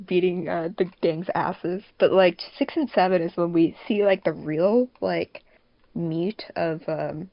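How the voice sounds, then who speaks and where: A woman's voice: lowish voice, dry recording. Woman chatting casually, muffled dry audio. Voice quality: frequent "like" as a filler. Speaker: podcast co-host, fandub producer. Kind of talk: casual podcast chat.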